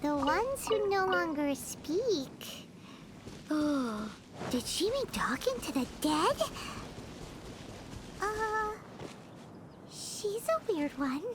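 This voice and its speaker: Squeaky, swooping little fairy companion. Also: female voice, big pitch swings, very slow, pauses often, background noise, full character voice. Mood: puzzled. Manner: squeaky, exclaiming, speaks of self in third person. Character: tiny childlike floating fairy companion, naive, easily puzzled. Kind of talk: video game character acting. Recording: game audio with clear background music.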